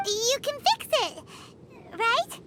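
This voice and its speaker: A woman voicing a tiny, chirpy, eager floating companion in a video game, with a squeaky childlike voice and perky manner. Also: very animated, takes it slowly, exaggerated voice. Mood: eager, reassuring. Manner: perky, refers to self by name. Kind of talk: animated video game voice acting. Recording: loud music behind.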